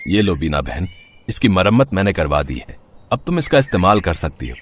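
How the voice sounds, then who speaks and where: An actor playing a kindly, poor middle-aged onion seller who talks slowly and gently in a cartoon story. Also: male voice, sits low, very animated. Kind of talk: Hindi cartoon voice acting.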